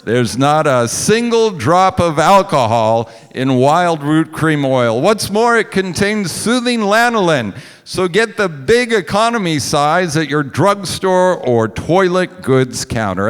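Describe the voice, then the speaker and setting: A man delivering a scripted commercial as a 1940s radio announcer, with emphatic, measured salesmanship. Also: on the high side, very animated, smooth. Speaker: radio announcer reading the sponsor spot. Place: on stage in a hall. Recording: reverberant hall with music.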